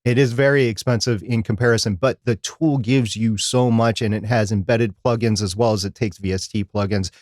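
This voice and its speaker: A man's voice: on the low side, fairly even intonation, a little fast, hardly any pauses, darkish tone, dry recording. Male podcast co-host, dry studio sound. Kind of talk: casual live podcast discussion.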